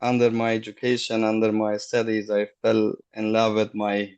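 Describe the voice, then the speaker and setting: A middle-aged man, a guest on a video call, telling his story haltingly and searching for words. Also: lowish voice, completely flat delivery, a little mellow, clean tone, dull audio. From Afghanistan. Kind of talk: casual personal story.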